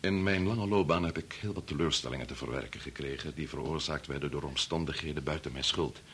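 An actor in a Dutch radio play, playing a grave, resolute older doctor who speaks in a measured, formal, authoritative way. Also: male voice, extremely low pitch, fairly bright tone, slightly rough, heavily acted. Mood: grave, understanding. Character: grave, resolute senior doctor and father leading an experiment.

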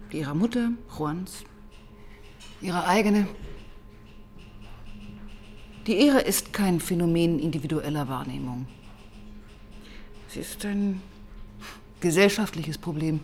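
An actress playing a weary, relentless police detective who also narrates in a radio crime drama, intense and often dropping to a whisper. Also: female voice, fairly low pitch, lively intonation, a little slow, long pauses, a little mellow. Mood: weary, bleak.

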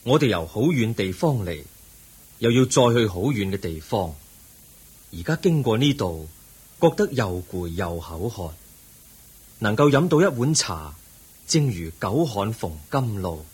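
An actor playing a benevolent, solemn Buddha in a Hong Kong Cantonese radio drama. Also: male voice, fairly low pitch, very animated, a little mellow, breathy edge, exaggerated voice. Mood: kindly, encouraging. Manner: solemn, kindly, measured. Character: serene, benevolent Buddha on his journey.

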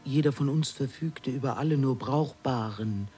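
An actor playing a grave, aloof alien philosopher in a German radio drama, making solemn pronouncements. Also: male voice, mid-range voice, moderate pace, mellow, faint music behind, lightly acted.

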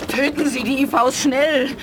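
A high-pitched, fierce alien woman in a science fiction radio play. She is proud, vengeful and impassioned, with clear ambient noise behind her. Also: fairly even intonation, a little slow. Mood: hateful, urgent. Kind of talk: 1980s German audio drama acting.

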